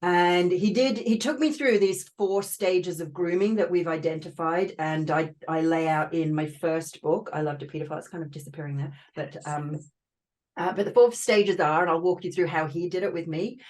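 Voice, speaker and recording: A woman's voice: on the low side, steady tone, normal speed, a little mellow. A woman from Australia with an Australian accent touched by British tones, a podcast guest explaining her experiences earnestly with many fillers and restarts. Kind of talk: casual interview talk.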